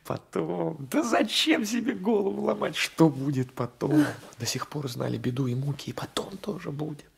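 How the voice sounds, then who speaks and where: A husky, possessive spurned lover. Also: male voice, a little high, moderate pace, hardly any pauses, exaggerated voice. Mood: fervent, coaxing. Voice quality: husky, often dropping to a whisper. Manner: intense, insistent, repeating phrases. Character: gendarme, a jilted former lover, passionate, possessive, vengeful. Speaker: actor in a radio play. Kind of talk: 1980s radio drama acting.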